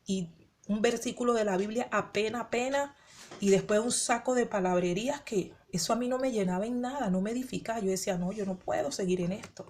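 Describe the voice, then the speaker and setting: A woman's voice: fairly high pitch, fairly quick, darkish tone, slight background noise. A woman pastor from the Dominican Republic, raised in Venezuela, telling her personal testimony and acting out her own inner dialogue. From born in the Dominican Republic, raised in Venezuela. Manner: rhetorical questions to the listener.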